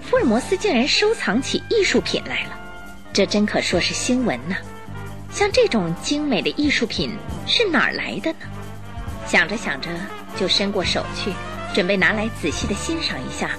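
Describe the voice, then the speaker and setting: A woman narrating a radio drama in an expressive, measured, scripted storytelling style. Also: mid-range voice. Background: music under the voice.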